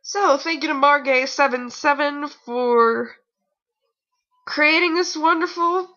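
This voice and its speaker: A woman's voice: high-pitched, takes it slowly, pushed and bright, dull audio. A young adult woman giving a mocking amateur fan fiction reading with rambling, exasperated, theatrical asides, sounding a bit tired. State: tired, sore nose.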